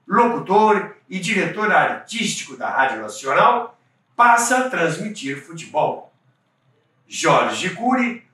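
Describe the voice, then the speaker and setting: A Brazilian man narrating as the host of a radio history show, speaking in an emphatic, deliberate way. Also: on the high side, sharp tone. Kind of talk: storytelling narration. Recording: echoey room, distant from the mic.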